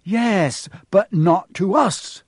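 A man's voice: medium pitch, moderate pace, darkish tone, dry recording, clean studio sound, close to an everyday voice. A man voicing a hot-headed schoolboy sidekick in an audiobook, blunt and exasperated.

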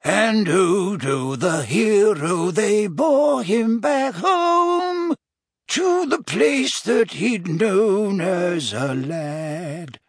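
A man doing a weepy, tipsy old potions master, pitched well above the reader's own voice and swinging widely in pitch. He frets and hiccups through his words, his voice thin and breathy. An audiobook character voice. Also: big pitch swings, slow, a bit bright, no echo, studio quality, faint music behind, full character voice. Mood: plaintive singing. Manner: emotional, hiccuping, fretful.

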